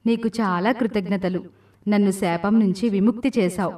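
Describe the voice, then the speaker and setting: A woman's voice: medium pitch, fairly even intonation, normal speed, darkish tone, natural voice. Distant-sounding cursed demon woman, acted by a woman. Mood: remorseful, confessing. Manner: commanding, threatening delivery. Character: cursed watermelon demoness demanding marriage, menacing then remorseful. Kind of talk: dramatic storybook voice acting.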